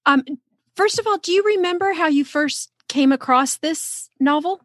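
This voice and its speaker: A woman interviews a guest as a podcast host in a casual, conversational way. Her voice is clearly high in pitch, and it is recorded dry, with very little room sound. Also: moderate pace, dry recording.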